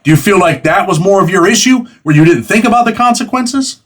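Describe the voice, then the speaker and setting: Fast-talking man in his forties. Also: high-pitched, lively intonation. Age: 40s. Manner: fast, conversational, streetwise slang. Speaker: host. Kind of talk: casual interview.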